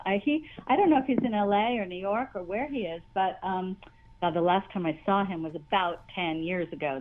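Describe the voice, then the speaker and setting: An older woman with a naturally high voice talks casually as an interview guest. She rambles, restarts her sentences and laughs often. The audio is a bit dull and lo-fi.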